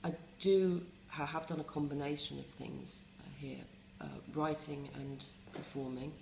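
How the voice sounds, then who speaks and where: A middle-aged English woman, a resident playwright, talking reflectively in a wry, self-mocking way with many pauses and restarts. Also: sits low, fairly even intonation, vocal fry, roomy sound, background noise. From England. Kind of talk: reflective interview talk.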